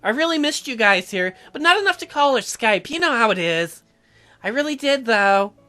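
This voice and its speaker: A man's voice: extremely high pitch, very animated, moderate pace, pushed and bright, faint music behind, pitched above the performer's own voice, full character voice. A man voicing a sweet, apologetic teenage girl, reading visual novel dialogue aloud. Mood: explaining.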